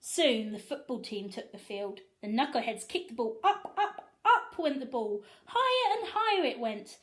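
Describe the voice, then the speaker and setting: Animated woman reading to young children. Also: high-pitched, moderate pace. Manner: animated, asks listeners questions. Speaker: story reader for young children. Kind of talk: expressive children's storybook reading.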